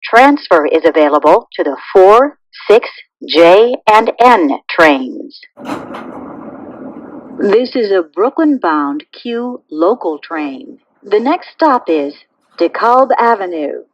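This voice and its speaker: A woman making pre-recorded subway train announcements in a measured, formulaic way. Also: medium pitch, very animated, no echo, rough recording. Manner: measured, clearly phrased, formulaic delivery.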